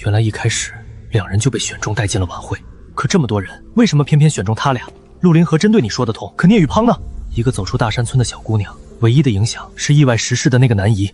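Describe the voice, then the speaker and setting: A male actor as a knowledgeable, excitable man in an animated web drama. His pitch swings widely and he talks fast, sounding keen and inquisitive. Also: lightly acted. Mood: curious, agitated.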